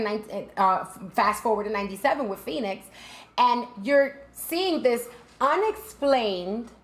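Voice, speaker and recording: A woman from the United States hosting a show, talking casually and chattily, with frequent fillers and restarts, and putting rhetorical questions to her listeners. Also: fairly high pitch. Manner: frequent fillers and self-corrections. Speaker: host. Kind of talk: casual talk-show monologue.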